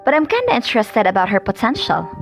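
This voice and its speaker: A woman's voice: medium pitch, huge pitch swings, fast, vocal fry. A friendly, chatty schoolgirl who never sleeps, played by a woman, with faint music under the radio-play scene. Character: sleepless, friendly girl photographer.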